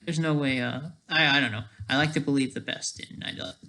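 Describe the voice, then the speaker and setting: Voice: medium pitch, steady tone, fairly quick, bright, forceful tone, a little hiss or hum. A person giving rambling, off-the-cuff commentary as an amateur creator, often breaking off mid-sentence and tagging statements with "right?".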